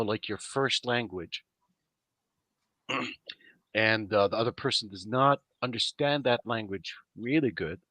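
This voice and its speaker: Arabic-speaking man, dry bright voice. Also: mid-range voice, no echo. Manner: thoughtful, explains step by step, checks in with "right?". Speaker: podcast panelist. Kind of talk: casual podcast chat.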